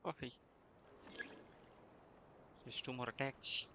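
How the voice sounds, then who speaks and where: A man's voice: medium pitch, steady tone, slow pace, bright, forceful tone. A man livestreaming a game, giving casual, terse commentary and muttering bits of on-screen text. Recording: livestream with clear background noise.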